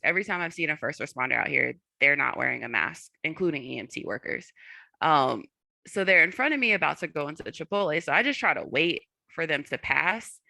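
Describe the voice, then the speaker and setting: Young adult panel guest, earnest and candid, gravelly texture, dry close sound, lots of "like" and "um". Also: medium pitch, bright, forceful tone, no echo. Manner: frequent "like" and "um" fillers, earnest.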